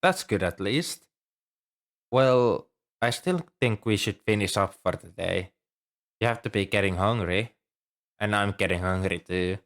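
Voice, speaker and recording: Shy, low-voiced young bookish student played by a man. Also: unhurried, pauses often. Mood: apologetic, shy. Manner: hesitant, softly apologetic, helpful. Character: shy, earnest, bookish male student librarian. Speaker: amateur audio roleplay performer. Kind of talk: ASMR roleplay, scripted one-sided dialogue.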